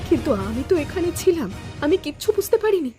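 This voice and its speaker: A woman's voice: high voice, big pitch swings, fairly quick. A woman voicing a troubled but resolute young village wife in a melodramatic cartoon, speaking earnestly. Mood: shocked. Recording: background music under the voice.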